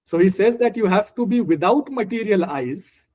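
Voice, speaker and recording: A man's voice: sits high, lively intonation, normal speed, warm tone. A man from India with an Indian accent and Marathi as his first language, teaching a scripture study group informally, explaining word by word. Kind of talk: informal explanatory lesson with questions.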